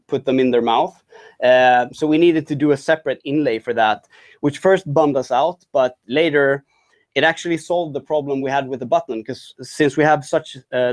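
A man giving a conference talk on a product his team built, speaking in halting sentences with many restarts and fillers. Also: medium pitch. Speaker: tech talk presenter.

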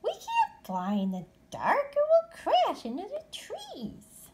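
A sweet young bat girl from a storybook. The voice is squeaky and very high, leaping widely in pitch, with an earnest, excitable manner and drawn-out words. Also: heavily acted. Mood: timid.

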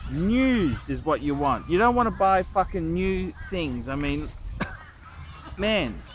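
A man's voice: a little high, lively intonation, slow. A middle-aged man hosting a watch channel, reading a viewer's letter and then giving crude, rambling, profane opinions, recorded outdoors in a park on a lapel mic. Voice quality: blunt, crude and profane, rambling with many restarts. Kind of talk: casual crude vlog rant.

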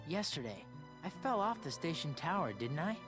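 A man's voice: a little high, sing-song, moderate pace, over music. An actor playing a troubled, wistful teenage boy in a video game cutscene, with a hesitant manner. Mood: uneasy.

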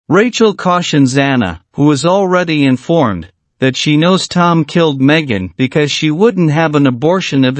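A man reading a scripted plot summary of a film in a steady, even voice-over. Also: fairly high pitch, no echo. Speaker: movie recap narrator.

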